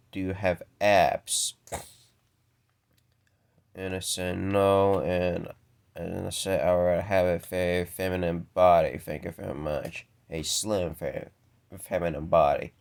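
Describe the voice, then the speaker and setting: Deep-voiced young man who stutters. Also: gravelly, clean tone. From American Deep South. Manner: stuttering, halting with repeated words. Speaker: video creator answering viewer questions. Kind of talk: casual solo Q&A.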